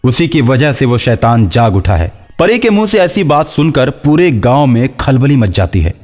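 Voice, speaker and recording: A man's voice: mid-range voice, very animated, moderate pace, a little mellow. A man narrating a scripted horror folk story as a storyteller. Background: faint music.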